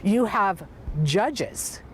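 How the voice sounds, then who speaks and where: A woman's voice: mid-range voice, expressive, drawn-out words. A woman speaking in a news interview, explaining emphatically and with indignation.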